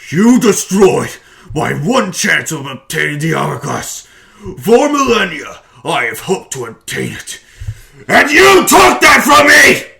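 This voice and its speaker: A man's voice: sits high, huge pitch swings, slow pace, gravelly, sharp tone, exaggerated voice. A man playing a menacing conqueror supervillain in an amateur comic-book dramatic reading, with cold, theatrical deliberation that turns to rage. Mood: furious. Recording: music behind the voice.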